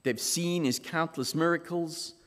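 A man from South Africa with a South African accent, preaching a sermon as pastor in measured, emphatic phrases with dramatic pauses. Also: fairly high pitch, fairly bright tone. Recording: long reverb of a large hall.

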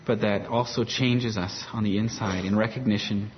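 A man leading a church service, introducing guests and praying in a calm, measured way. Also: on the low side. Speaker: pastor. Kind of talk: introduction and prayer. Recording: dull sound with faint room noise.

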